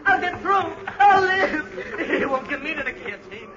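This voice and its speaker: A man's voice: extremely high pitch, expressive, moderate pace, a loud crowd. A terrified man in frantic flight. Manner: frantic, breathless babbling. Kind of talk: 1940s radio drama acting. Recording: muffled 1940s radio broadcast, hiss.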